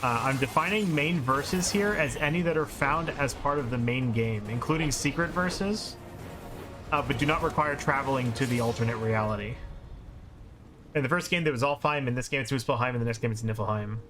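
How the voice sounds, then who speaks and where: A man streams a video game with casual, explanatory commentary. His voice sits at a middle pitch, and game music plays clearly behind him. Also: brisk. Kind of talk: live gameplay commentary.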